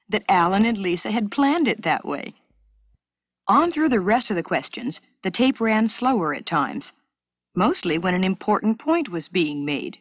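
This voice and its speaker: A woman reads a scripted educational story for children in a clear, measured storytelling manner, as on a 1970s school cassette. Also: mid-range voice, no room sound. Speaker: narrator.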